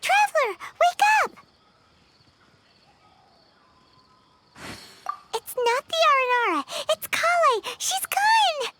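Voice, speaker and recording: Squeaky little floating sprite buddy, super high, jumps all over the place. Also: female voice, very animated, unhurried, pushed and bright, heavily acted. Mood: excited. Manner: chirpy, exclaims often, talks about herself in third person. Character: tiny floating girlish companion sprite, excitable. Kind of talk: game voice acting.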